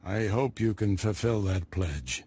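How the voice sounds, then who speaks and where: A grave, lowish Jedi master. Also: male voice, expressive, mellow, breathy edge. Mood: reflective. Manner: measured, grave, instructive. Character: stern calm male Jedi master, wise.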